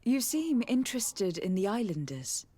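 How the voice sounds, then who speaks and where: A polite adventuring noblewoman from a fantasy game. Her voice is mid-pitched, and she questions people formally and courteously. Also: big pitch swings, normal speed, dark tone, no echo. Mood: curious, courteous. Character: polite, inquisitive noblewoman envoy, adventuring heroine. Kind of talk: scripted video game dialogue.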